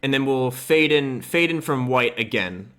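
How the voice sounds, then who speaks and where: A man's voice: medium pitch, animated, unhurried, fairly bright tone. Casual man talking through a screen tutorial. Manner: informal, loose and offhand, occasional swearing. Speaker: host of a game modding tutorial. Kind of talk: casual screen-capture tutorial, thinking aloud while working.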